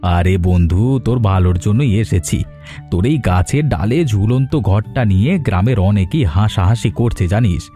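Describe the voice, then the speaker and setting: Smug wealthy cartoon villain, male actor, low-leaning and soft-edged, needling and haughty. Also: expressive, moderate pace, close to an everyday voice. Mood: condescending, persuasive. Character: wealthy scheming village man, smug and condescending. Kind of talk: Bengali moral-story cartoon voice acting. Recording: music behind the voice.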